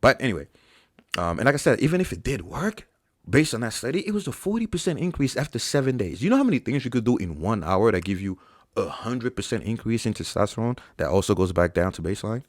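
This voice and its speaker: A man's voice: on the low side, very animated, fast talker, dry recording, studio quality. A young man hosting a fitness Q&A, bluntly and profanely debunking claims with an exasperated tone. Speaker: fitness channel host. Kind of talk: live Q&A, reading chat questions.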